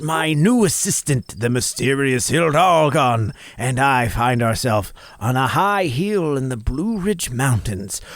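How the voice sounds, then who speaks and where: A man voicing a showy woman video host, presenting a mock documentary in a theatrical, drawn-out delivery. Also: fairly high pitch, no echo, full character voice. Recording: music and chirping night insects behind.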